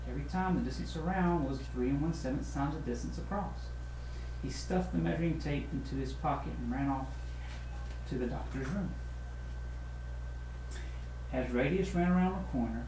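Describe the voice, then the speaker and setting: A man narrates a children's storybook in the role of a teacher. His tone is soft-edged and mellow. The recording has clear room echo and noise behind it. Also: a little high, normal speed. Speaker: teacher reading a storybook aloud. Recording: roomy echo with noisy hiss.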